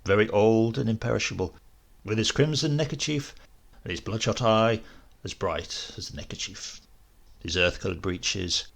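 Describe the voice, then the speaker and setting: Low, creaky-voiced male storyteller. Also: normal speed, a bit bright. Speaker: audiobook narrator. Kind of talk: literary scripted narration.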